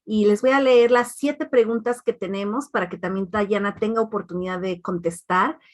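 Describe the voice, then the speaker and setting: A woman moderating an online panel, speaking in an even, mid-pitched voice. She reads the audience questions aloud at a measured pace.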